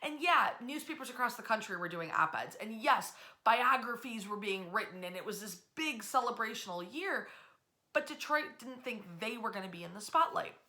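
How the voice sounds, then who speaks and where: A woman hosting an informal history storytelling talk, speaking conversationally with animated asides and emphatic pauses. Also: on the high side.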